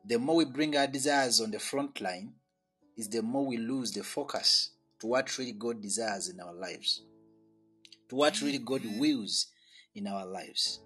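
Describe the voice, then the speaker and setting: Host, man with a bright, husky voice, slow earnest preaching delivery. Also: mid-range voice, faint music behind. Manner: earnest, slow and emphatic, with swells in volume. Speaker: radio show host. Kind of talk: preaching and prayer, then hosting a chat.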